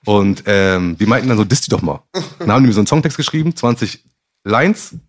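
A man's voice: on the low side, expressive, a little fast. A man from Gambia, a podcast guest telling his story in rambling, slangy casual chat.

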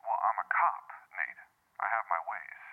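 An actor playing a friendly, conscientious police officer in an audio drama, speaking conversationally through a telephone filter. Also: male voice, on the low side, lively intonation, moderate pace. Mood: pleased, confiding. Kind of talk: naturalistic audio drama dialogue. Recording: tinny narrow phone line.

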